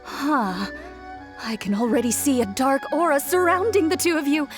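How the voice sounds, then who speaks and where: A high-voiced, ominous shrine maiden. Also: female voice, lively intonation, normal speed. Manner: cryptic, foreboding, theatrical. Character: ominous, theatrical young female shrine maiden, sly. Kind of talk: video game voice acting. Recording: faint background music.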